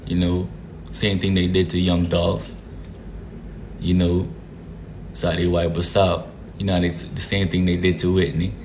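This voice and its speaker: A man's voice: sits low, level tone, measured pace, pauses often, darkish tone, slight background noise. A man from Jamaica hosting a casual live stream, greeting viewers by name in a laid-back, repetitive way. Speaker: livestream host.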